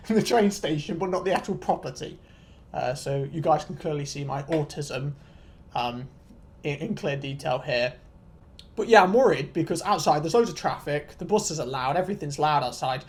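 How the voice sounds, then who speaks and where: Casting: vlogger in their twenties, medium-pitched voice, casual storyteller, rambling, self-deprecating, sweary. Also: lively intonation, fairly quick. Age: 20s. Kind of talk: casual storytelling rant to viewers.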